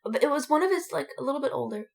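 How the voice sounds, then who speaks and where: A woman with a fairly high voice chats casually as a podcast co-host. She gushes like a fan among friends and stretches words out for emphasis. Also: expressive, very fast, gravelly.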